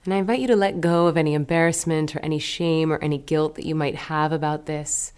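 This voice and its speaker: A woman leading a guided meditation as a teacher, giving gentle, unhurried instructions with pauses between phrases. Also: low-pitched, fairly even intonation, clear, resonant tone.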